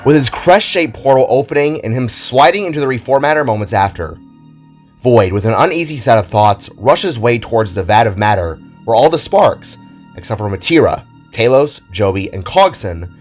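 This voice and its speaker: A man reading scripted, dramatic narration for an audio drama, with music behind his voice. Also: mid-range voice.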